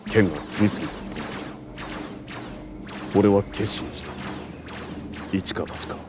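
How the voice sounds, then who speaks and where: An actor playing a brooding, cursed vampire hunter in an audio drama, telling his past in a grave, measured way. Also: low voice, music in the background. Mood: tense, grave. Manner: grave, somber, measured storytelling. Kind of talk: Japanese audio drama acting with narration.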